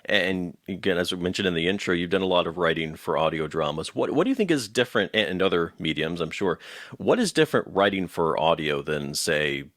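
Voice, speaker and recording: A man hosting a casual interview, chatting in an animated, easygoing way with his guest. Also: sits low, a little fast, dry recording, clean studio sound. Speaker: interviewer, host.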